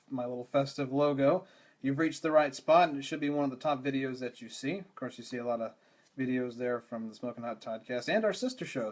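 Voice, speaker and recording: Hoarse, rattly-voiced man hosting live. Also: mid-range voice. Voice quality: rattly, strained voice. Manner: rambling, self-deprecating, many restarts. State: hoarse, losing his voice. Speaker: podcast host. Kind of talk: casual live-stream chat. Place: home room with mic. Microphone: studio microphone.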